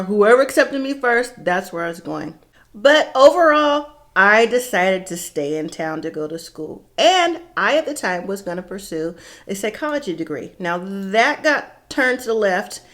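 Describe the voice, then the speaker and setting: A middle-aged woman with an American accent, telling personal stories casually to viewers in an animated, emphatic way, with rhetorical questions and acted-out dialogue. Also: medium pitch. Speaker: vlog host.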